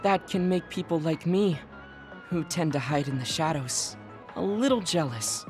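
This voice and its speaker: An actor playing a calm, self-effacing young man in a video game, polite and composed. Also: on the high side, a little slow, faint music behind. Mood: calm, wistful.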